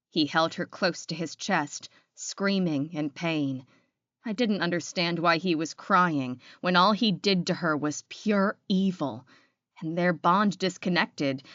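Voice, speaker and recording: A woman's voice: sits low, fairly bright tone, dry recording, highs cut off. A woman reading a scripted audiobook, narrating dramatic fiction in the first person.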